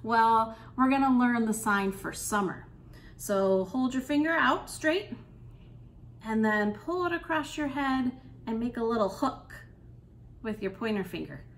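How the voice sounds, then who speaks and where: A woman hosting an interactive children's storytime, speaking in an encouraging, sing-song way and pausing with questions for young listeners. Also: a little high, expressive, reverberant, slight background noise. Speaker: children's storytime librarian and host. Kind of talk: interactive children's storytime, reading aloud and leading songs.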